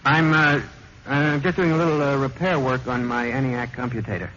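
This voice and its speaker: A man's voice: medium pitch, fairly even intonation, moderate pace, a bit bright, a little hiss or hum, natural voice. An American actor playing a haunted, obsessive office computer man, narrating his own story in melodramatic 1950s radio drama style. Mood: nervous, evasive. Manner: melodramatic, portentous delivery. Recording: muffled vintage 1950s radio recording. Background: dramatic music.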